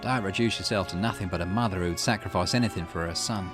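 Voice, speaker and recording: Man voicing calm investigator, low-pitched, polite, measured, earnest, visual novel character. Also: music in the background, natural voice. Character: calm, reasonable male investigator offering measured counsel.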